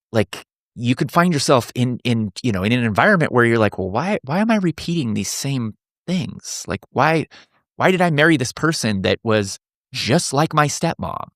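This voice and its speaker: A man's voice: medium pitch, a bit bright, no echo, clean studio sound. A man in his forties, a coach speaking as a podcast guest, earnest and thinking aloud with frequent restarts. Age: 40s. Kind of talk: casual podcast conversation.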